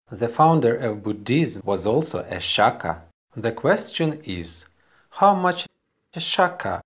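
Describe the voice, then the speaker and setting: A Ukrainian man with a Ukrainian accent, speaking English as a second language and narrating a history video carefully and deliberately. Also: fairly low pitch, a little mellow. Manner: careful, deliberate non-native delivery.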